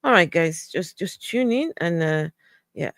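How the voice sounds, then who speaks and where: A casual commentator reacting to a video, speaking in halting, restarting bursts. The voice sits mid-range and sounds dry and close, with no room echo. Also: mid-range voice, expressive, a bit bright. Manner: halting, thinking aloud with restarts.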